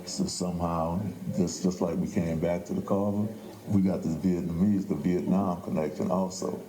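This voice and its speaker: A man's voice: sits low, steady tone, moderate pace, a crowd in the background. An older man, a playwright, telling stories in an interview conversation. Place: indoors at a theater. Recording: echoey room, faint background noise.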